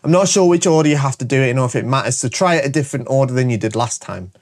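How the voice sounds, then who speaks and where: A man's voice: medium pitch, very fast. A man hosting his show, his voice stuffy and bunged up from a cold, talking energetically and jokily, tagging "all right?" onto his points. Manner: energetic and jokey, repeating "all right?" often. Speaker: show host and shop owner. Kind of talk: casual promotional monologue to camera.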